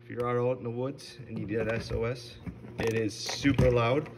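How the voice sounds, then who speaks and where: A man giving a casual, unscripted product review in an informal, halting conversational way. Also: medium pitch, steady tone. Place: indoors at a stone countertop. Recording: distant mic, clearly noisy background.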